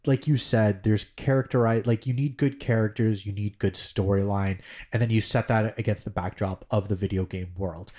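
Animated, rambling male podcast co-host. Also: mid-range voice. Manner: rambling, swears casually, many fillers. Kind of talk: casual chat.

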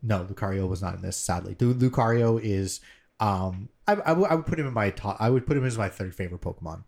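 A man's voice: on the low side, fast, vocal fry, darkish tone. A man co-hosting a podcast, telling stories eagerly with stammered restarts and casual swearing. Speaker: podcast co-host. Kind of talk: casual chat.

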